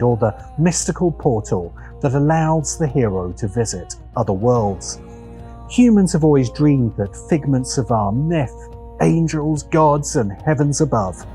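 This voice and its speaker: Male video essay narrator, dark warm timbre, mid pitch, scripted, measured dramatic phrasing.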